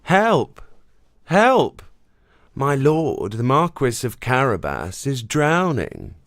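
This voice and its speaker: A woman voicing a clever, smooth-talking male cat who speaks in a slow, courtly way. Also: extremely low pitch, very animated, no room sound, clean studio sound, voice pushed down, heavily acted. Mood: urgent. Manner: courtly, persuasive, slow and deliberate.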